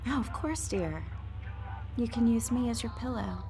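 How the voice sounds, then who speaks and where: A woman's voice: a little high, lively intonation, moderate pace, background noise. An actress playing an outwardly kind, motherly wife in an audio drama, soothing and confiding with a nervous edge. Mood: reassuring. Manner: soothing, confiding, terms of endearment. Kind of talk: naturalistic audio fiction acting.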